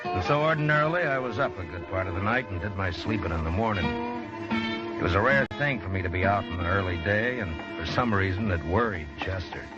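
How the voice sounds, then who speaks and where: A man acting a weary, dry-humored, measured US marshal in an old radio western. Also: low voice, animated. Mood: wry, measured. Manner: laconic, measured. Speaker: lead actor and narrator. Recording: dull old radio broadcast with music.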